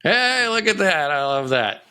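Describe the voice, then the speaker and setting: An upbeat man hosts a relaxed interview chat. He greets his guests eagerly and keeps the conversation friendly and casual. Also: high voice, unhurried, clear, resonant tone. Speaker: podcast host.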